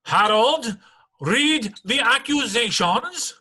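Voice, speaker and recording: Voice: mid-range voice, animated, slow pace, bright, forceful tone, a little hiss or hum. A performer playing an imperious, impatient royal judge who barks commands in a dramatic reading. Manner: commanding, blustering. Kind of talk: dramatic reading of a classic children's story.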